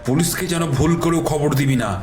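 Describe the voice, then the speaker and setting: A man's voice: a little high, fast, darkish tone, natural voice. A man voicing a bullying cartoon kidnapper thug with a taunting manner. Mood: menacing. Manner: threatening, taunting. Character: menacing male kidnapper thug, bullying but cowardly. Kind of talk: Bengali cartoon voice acting. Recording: roomy echo with music underneath.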